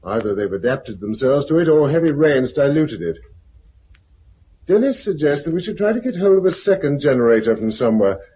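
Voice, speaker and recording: An actor playing a weary, irritable, practical British survivor in 1950s radio drama style, clipped and sardonic. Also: male voice, mid-range voice, sing-song, brisk, dark tone, husky. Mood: sober reflection. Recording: muffled, noisy 1950s radio recording.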